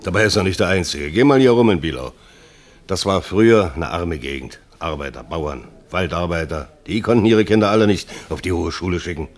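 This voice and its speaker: An actor playing a proud, gruff middle-aged working man in a radio drama, plain-spoken and combative. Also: low-pitched, expressive, a little fast. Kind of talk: German radio drama acting.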